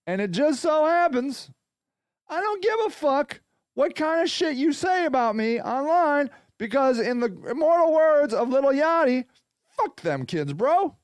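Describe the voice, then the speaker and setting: A man hosting a podcast in a loud, profane, ranting monologue. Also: very high voice, big pitch swings, a little slow, no room sound, clean studio sound. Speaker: podcast host. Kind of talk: casual monologue.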